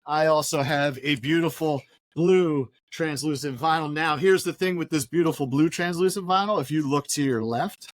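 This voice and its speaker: A man's voice: on the high side, normal speed, no room sound. A man, co-hosting a casual podcast from his record shop, ranting and swearing with energy. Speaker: podcast co-host and record store owner. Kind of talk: casual chat.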